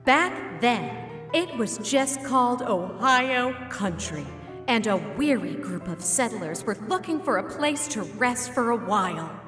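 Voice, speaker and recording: Prim librarian lady emceeing a kids pageant, kinda slow, swoopy delivery, audio drama. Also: a little high, expressive. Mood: storytelling. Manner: prim, instructive, theatrical. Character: prim head librarian emceeing a small-town children's pageant. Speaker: pageant host. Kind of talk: staged audio drama, public announcement and storytelling. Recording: echoey hall, long reverb, faint music.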